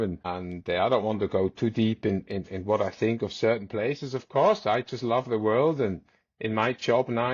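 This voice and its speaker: A rather low-voiced man in his forties, raised in South Africa and a native Swiss German speaker, telling a podcast host stories in a loose, rambling way. He restarts his sentences often, and the recording is dry and dull with no room sound. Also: no echo. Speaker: podcast guest. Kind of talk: casual storytelling.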